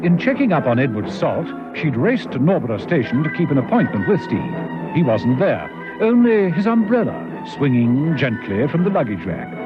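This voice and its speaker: A suspense serial storyteller. He reads scripted narration with lively, dramatic intonation at a middling pitch. Music plays behind, and the vintage radio recording is slightly dull. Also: moderate pace. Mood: suspenseful.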